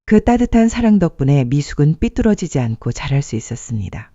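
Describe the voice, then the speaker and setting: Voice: medium pitch, sing-song, a little fast, warm tone, dry recording, muffled recording. A narrator telling a scripted dramatic life story in an earnest way, posing rhetorical questions.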